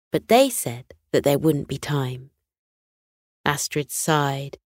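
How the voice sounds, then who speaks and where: A woman narrating a scripted bedtime story for children in a gentle, measured, soothing way. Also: on the low side, no room sound, studio quality.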